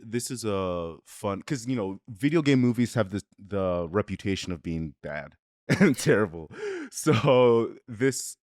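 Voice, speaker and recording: A man's voice: on the low side, a little mellow, dry recording, clean studio sound. A man hosting a casual review chat, talking in a conversational, hedging way. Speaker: video review host.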